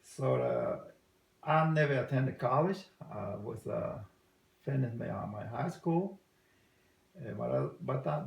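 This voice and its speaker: Salvadoran guy in his 60s, gravelly voice, hesitant english with lots of uhs, echoey room. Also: mid-range voice, darkish tone. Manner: halting, many uh fillers, non-native English. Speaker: interviewee. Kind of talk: casual family interview.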